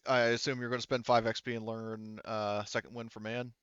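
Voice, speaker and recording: A man's voice: medium pitch, level tone, brisk, fairly bright tone, clear, resonant tone, no echo. A man talking casually and explaining rules as the game master of a tabletop roleplaying game. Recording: dull, lo-fi voice-chat audio.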